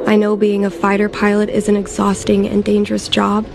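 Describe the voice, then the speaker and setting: A woman's voice: mid-range voice, completely flat delivery, brisk, vocal fry, noisy background, natural voice. An actress voicing a spirited, headstrong young woman who longs to fly, in a chatty, eager video game style. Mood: earnest longing. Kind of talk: video game character voice acting.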